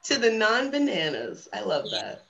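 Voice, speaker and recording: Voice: medium pitch, huge pitch swings, normal speed, vocal fry, fairly bright tone, muffled recording. A woman reading a children's storybook casually, with playful, theatrical asides to the audience. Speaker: drag storytime guest reader. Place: video stream in front of a green screen.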